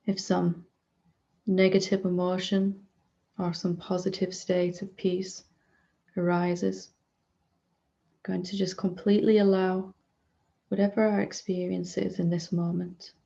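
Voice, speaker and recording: Gentle, low-toned woman guiding meditation, creaky and mellow. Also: flat delivery, highs cut off. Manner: gentle, unhurried, with long pauses between short phrases. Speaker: spiritual teacher leading a guided meditation. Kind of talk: guided meditation, unscripted gentle prompting.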